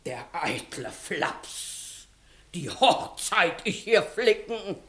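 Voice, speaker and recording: An actress playing an outraged, sharp-tongued middle-aged village mother in a classical 1960s radio play, scolding and mocking as she declaims. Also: on the low side, very animated, extremely slow, full character voice. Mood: scornful rage. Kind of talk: 1960s German-language radio play, classical stage acting. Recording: roomy 1960s radio studio.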